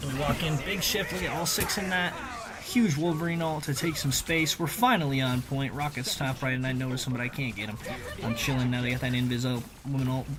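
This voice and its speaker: A man's voice: medium pitch, a little fast, background noise. A man giving casual, slangy, conversational voice-over commentary on video gameplay, as a gaming video creator.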